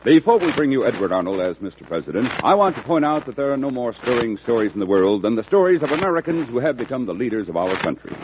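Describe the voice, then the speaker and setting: A man giving a rousing, scripted introduction as host of an old radio program. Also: medium pitch. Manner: earnest, rousing delivery. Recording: dull, noisy old radio recording.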